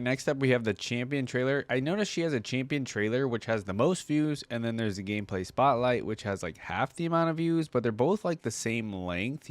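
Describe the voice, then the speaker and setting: A man's voice: mid-range voice, no echo. A man hosting a reaction video, reading a story aloud and adding casual commentary.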